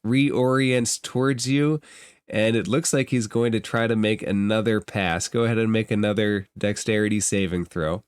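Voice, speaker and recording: A man narrating a tabletop fantasy game as game master, describing scenes in improvised, halting phrases and thinking aloud. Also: lowish voice, steady tone, clean tone, dry recording, clean studio sound.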